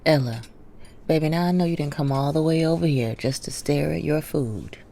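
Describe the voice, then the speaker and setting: Deep-voiced, loving American mother. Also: normal speed, gravelly, warm tone. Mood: concerned, affectionate. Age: middle-aged. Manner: affectionate, firm. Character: loving, protective, firm mother of a grown daughter. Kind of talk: audio drama acting.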